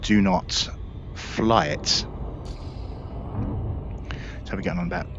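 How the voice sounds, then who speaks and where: A man with a fairly low voice talks through a game casually, explaining as he goes. The sound is a little muffled, with a faint hum of noise behind him. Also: steady tone, slow pace, a bit bright. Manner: explanatory, conversational. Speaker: gaming video creator. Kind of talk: casual gameplay commentary.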